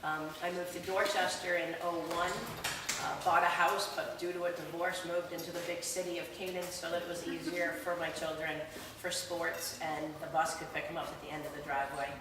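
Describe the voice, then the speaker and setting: A woman's voice: on the low side, level tone, fairly quick. Distant woman in an echoing hall. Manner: hesitant, many um fillers. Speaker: school board candidate. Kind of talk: campaign speech at a public meeting. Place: large echoey public hall. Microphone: no microphone, far from the recorder. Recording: roomy hall echo, distant and noisy.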